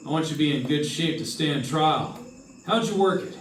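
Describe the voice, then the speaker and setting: A man's voice: fairly high pitch, normal speed, a little hiss or hum, close to an everyday voice. A man playing a wry, hardboiled investigator, narrating and talking in clipped old-time radio detective style. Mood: brisk, sardonic. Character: hardboiled, wry male insurance investigator narrating his case. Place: live stage performance. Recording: echoey live stage, distant mic, music behind. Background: music.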